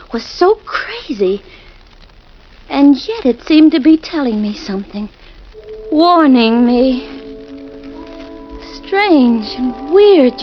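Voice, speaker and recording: An actress playing a nervous young wife shaken by a nightmare, breathless and pleading, in 1940s radio acting style. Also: female voice, sits high. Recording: muffled old radio recording with hiss.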